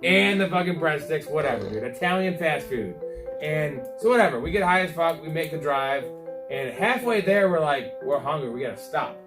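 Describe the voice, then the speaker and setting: Guy podcast host, kinda high voice, sweary slangy storytelling, music playing behind. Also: lively intonation, moderate pace. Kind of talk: casual chat and storytelling.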